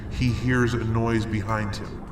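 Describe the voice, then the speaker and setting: Male storyteller, middle-aged, lowish voice, suspenseful scary-story read, roomy sound with music under it. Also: level tone. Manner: slow, deliberate, suspenseful delivery. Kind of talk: dramatic scary story narration.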